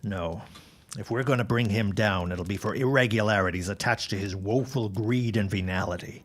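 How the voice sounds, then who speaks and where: A blunt skeptical neighbor. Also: male voice, on the low side, normal speed, a bit bright. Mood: determined. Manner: skeptical, firm. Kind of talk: audio drama acting.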